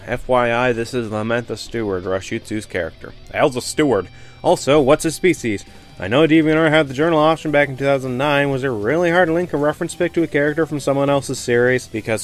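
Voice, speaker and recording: Young male review host, highly expressive and sardonic, exasperated, music bed underneath. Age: young adult. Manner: sarcastic, exasperated, self-mocking. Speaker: host of a fan fiction review show. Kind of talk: scripted comedic critique narration. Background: background music.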